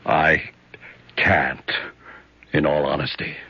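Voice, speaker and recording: A man's voice: very deep voice, flat delivery, takes it slowly, creaky, bright, forceful tone, husky, no room sound, heavily acted. An actor playing a stern, worried, domineering middle-aged senator and father in a 1970s radio drama, with a blustery, authoritative manner. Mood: tense, guarded. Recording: dull, band-limited vintage radio recording.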